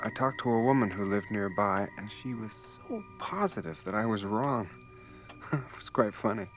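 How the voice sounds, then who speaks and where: A man's voice: on the low side, lively intonation, normal speed, close to an everyday voice. Male, actor playing unsettled man losing his grip on reality, wistful and hesitant, 1980s radio drama, music underneath. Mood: wry, wistful. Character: forgetful, shaky man calmly losing hold of reality.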